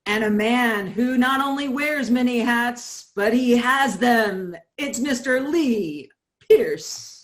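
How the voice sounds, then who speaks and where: High-voiced woman emceeing, slow and showy. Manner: enthusiastic, showy presenter delivery. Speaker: MC of a stage event. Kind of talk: introducing performers at a live event.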